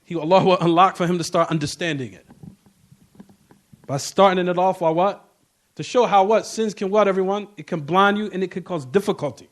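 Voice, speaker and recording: High-pitched man teaching a religious class. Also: fairly quick. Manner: repetitive, emphatic, checking in with rhetorical questions. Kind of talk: informal Islamic lecture with scriptural recitation.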